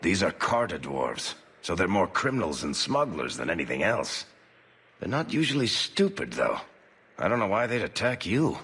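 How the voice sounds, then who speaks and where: Sardonic dwarf rogue in a game, lowish voice that swings around a lot, echoey. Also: male voice, big pitch swings, normal speed, a bit bright, breathy edge, full character voice. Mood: wry, casual. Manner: sardonic, wisecracking. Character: wry, roguish male dwarf adventurer and storyteller. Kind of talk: conversational video game acting.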